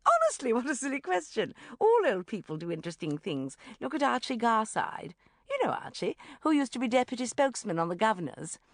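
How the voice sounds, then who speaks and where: A woman voicing a pushy, gushing middle-aged mother who talks breathlessly in a high voice. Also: big pitch swings, fairly quick, no echo, clean studio sound.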